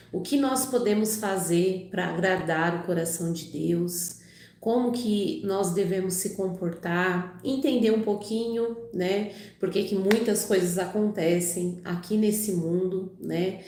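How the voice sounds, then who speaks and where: Woman livestreamer, warm dark tone, hoarse from a cold, casual chatty reading, roomy echo. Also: fairly low pitch. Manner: chatty, frequent "né" and "tá bom" tags. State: recovering from a cold. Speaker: channel host reading the Bible live. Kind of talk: casual livestream talk and Bible reading aloud.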